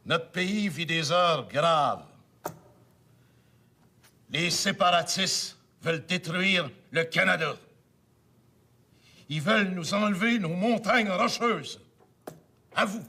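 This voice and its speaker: An actor playing a brash, boastful middle-aged Québécois man with a husky Québécois French accent, loud and blustering. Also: high-pitched, heavily acted. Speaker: political speaker.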